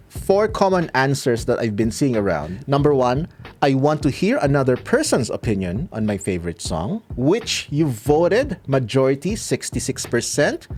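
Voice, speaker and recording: A man from the Philippines whose first language is Tagalog, a YouTube creator answering viewer comments in a dry, measured way. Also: mid-range voice, a little mellow. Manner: dry, measured, pauses edited out. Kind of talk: casual commentary to camera.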